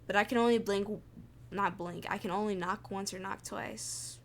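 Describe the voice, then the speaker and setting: A woman's voice: medium pitch, fairly even intonation, moderate pace, a little hiss or hum. A woman streaming a game, thinking aloud in informal, muttered commentary to herself, with music behind her.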